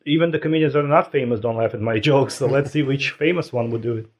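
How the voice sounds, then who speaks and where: A man's voice: mid-range voice, quick pace. A non-native English-speaking man co-hosting a comedy podcast in casual chat, self-deprecating and thinking aloud with restarts. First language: not English. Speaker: podcast co-host.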